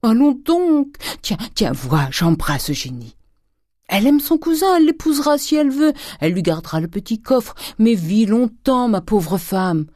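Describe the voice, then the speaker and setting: A woman voicing a gruff, miserly old father who cajoles and blusters in short exclamations, in a storybook reading. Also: on the high side, big pitch swings, moderate pace, warm tone, no room sound, clean studio sound, voice pushed up. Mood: frantic coaxing. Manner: exclamatory, wheedling, abrupt short phrases.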